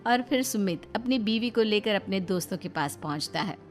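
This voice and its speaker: A woman's voice: mid-range voice, expressive, a little fast, faint music behind. A woman reading scripted narration as a storyteller.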